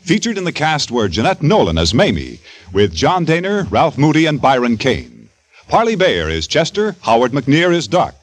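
A man's voice: on the high side, big pitch swings, normal speed, no room sound. A man announcing credits and promos in formal 1950s network radio style. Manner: formal, rolling announcer delivery. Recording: vintage 1950s radio broadcast with loud music.

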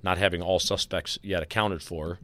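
A man hosting a talk, discussing audio clips in a serious, deliberate, analytical way. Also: sits low, fairly even intonation, a bit bright. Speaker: podcast host.

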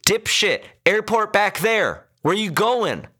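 A man voicing an angry, foul-mouthed male car passenger in an audiobook story, shouting and pleading in exasperation. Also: sits high, very animated, slow, voice pushed up, heavily acted. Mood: furious shouting.

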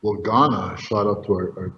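Energetic man in an echoey room. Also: fairly low pitch, normal speed. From Egypt, raised in New York. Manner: energetic, rambling, repeats words. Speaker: crypto company founder. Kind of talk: enthusiastic interview answers. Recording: roomy, echoey, dull audio with faint noise.

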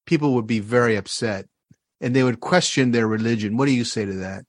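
A man's voice: mid-range voice, fairly quick, no echo. Chatty male podcast host, dry sound. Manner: chatty, enthusiastic, rambling with fillers. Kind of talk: interview and show promotion.

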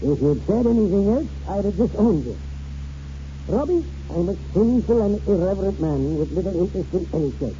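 High-voiced, mellow old grandfather. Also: sing-song, fairly quick, a little hiss or hum. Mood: wry, fond. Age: older. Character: wry, irreverent old Scottish grandfather, stubborn and protective. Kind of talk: 1940s radio acting. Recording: muffled 1940s radio broadcast with music.

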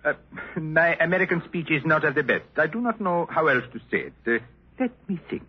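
A muffled, rough old radio recording. a haughty, scheming Frenchman with a French accent, theatrical and deliberate, his highish voice swooping wildly up and down. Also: sing-song. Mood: sly, smug. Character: sly, haughty French male race driver. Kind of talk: 1950s radio drama acting.

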